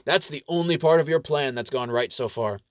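A man's voice: medium pitch, fairly quick, no echo, studio quality, pitched above the performer's own voice, lightly acted. A man voicing a jovial, irreverent clergyman who schemes and talks in a folksy, wry way in an audio story.

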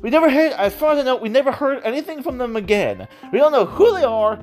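An excitable, giggly speaker rambling casually about a favourite hobby, often repeating and stammering over words. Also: sits high, fast talker, faint music behind. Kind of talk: casual rambling monologue about audio dramas.

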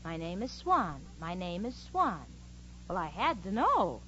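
A woman's voice: fairly low pitch, big pitch swings, a little slow, sharp tone, heavily acted. An actress playing a sensible, exasperated young woman telling wry stories in a 1940s radio comedy. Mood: wry, bemused. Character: exasperated, sensible young woman narrating her roommate's follies. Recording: dull, noisy old radio recording.

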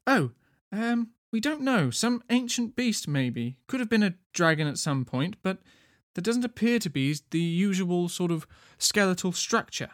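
A man's voice: sits high, big pitch swings, measured pace, dry recording, studio quality, voice pushed up. A man voicing a shy, polite female tiefling librarian in a fantasy story, hesitant and timid.

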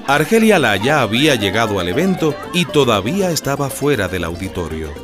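A man narrating a political radio drama from a script, stressing key phrases deliberately. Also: mid-range voice, big pitch swings, over music, natural voice. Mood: storytelling.

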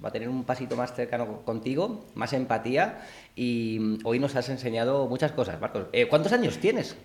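Jovial Spanish talk show host. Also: male voice, mid-range voice, expressive, brisk. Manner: jovial, teasing, thanks guests warmly. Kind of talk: casual chat, wrapping up the show.

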